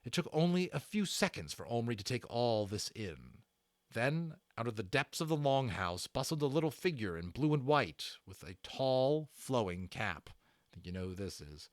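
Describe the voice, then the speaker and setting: A man reading a story aloud as a dramatic, expressive narrator, building suspense. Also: medium pitch, normal speed, no echo, studio quality. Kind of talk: dramatic read-aloud with casual asides.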